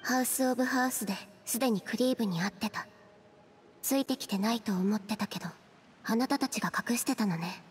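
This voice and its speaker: A reserved teenage girl, voiced by a woman in an anime game, fairly high in pitch. She is deadpan, quiet and stubborn, with faint ambience behind her. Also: brisk, slight background noise, close to an everyday voice. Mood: hurt, determined. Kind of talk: Japanese anime game voice acting.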